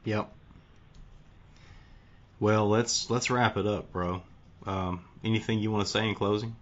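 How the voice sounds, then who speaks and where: A man's voice: on the low side, steady tone, measured pace, lo-fi sound. A tired male podcast host talking casually late at night, folksy and rambling with restarts. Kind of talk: casual conversation.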